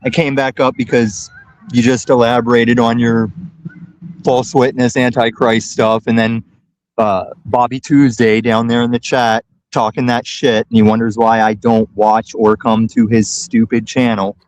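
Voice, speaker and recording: A man talking combatively as a guest on a live show, slow, deliberate and often profane. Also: medium pitch, level tone, darkish tone, no echo, poor audio. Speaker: call-in guest. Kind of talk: casual chat.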